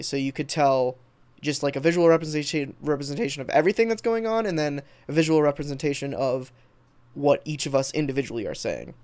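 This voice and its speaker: A man's voice: mid-range voice, a little mellow, clean tone. American man, mid-range voice, informal livestream chat, rambling, sweary, answering comments. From Texas, United States. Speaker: livestreamer and video editor.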